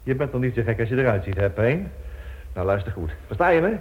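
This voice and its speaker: A man's voice: mid-range voice, big pitch swings, quick pace, lightly acted. An actor playing a resourceful male captive in a radio drama, giving clipped, urgent orders with coolly menacing politeness. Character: resourceful male captive, commanding, coolly threatening his jailer. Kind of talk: Dutch radio drama acting. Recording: muffled, noisy old radio play tape.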